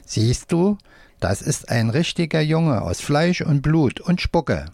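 A man's voice: a little high, very animated, takes it slowly, vocal fry, darkish tone, dry recording, pitched above the performer's own voice, exaggerated voice. Man doing a fussy, scolding hand puppet, raised voice. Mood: reassuring. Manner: fussy, chiding, reasoning. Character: scolding, exasperated, protective grown-up hand puppet.

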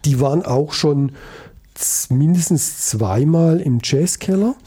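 A man's voice: fairly high pitch, animated, a little slow, warm tone, breathy edge. A man hosting a radio jazz show, chatting casually between tracks, rambling with frequent hesitations and fillers.

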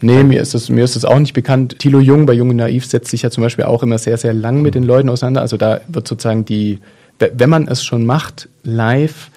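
A man's voice: medium pitch, fairly even intonation, a little fast, dark tone. A man in a casual discussion, talking in a thoughtful, hesitant way with many fillers and restarts.

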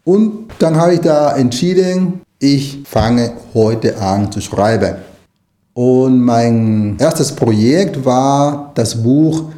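Mellow middle-aged man. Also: medium pitch. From Nicaragua. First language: Spanish. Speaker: interview guest, writer and language lecturer. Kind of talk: conversational interview answers.

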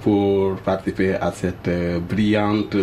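A studio technician, a man, speaks up politely with formal phrasing. His voice is very deep, with faint background noise. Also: flat delivery, slow pace, warm tone. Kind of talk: radio studio remarks.

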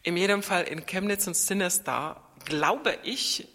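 A woman's voice: on the low side, unhurried, sharp tone, in a large hall, a little hiss or hum. A middle-aged woman, speaking German as an in a casual podcast chat, thoughtful and hesitant with many fillers.

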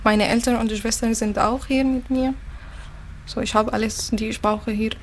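A woman from Syria, answers questions in a calm, matter-of-fact way. Her voice sits slightly high. A faint hum of room noise runs underneath. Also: moderate pace. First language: Arabic. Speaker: interviewee, musician. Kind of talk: interview answers.

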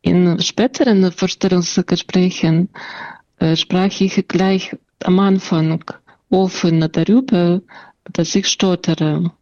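Russian-accented woman, stutters a lot, slow and low-key, dull muffled sound. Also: level tone, darkish tone, dry recording. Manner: stutters, with blocks, repeated sounds and many "äh" fillers. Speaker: interview guest. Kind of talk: personal account and interview answers.

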